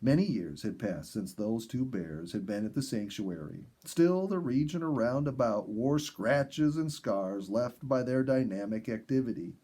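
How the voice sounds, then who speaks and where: A man reading a story aloud in an expressive, measured storybook narration style. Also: medium pitch, slightly rough. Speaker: audiobook reader and host.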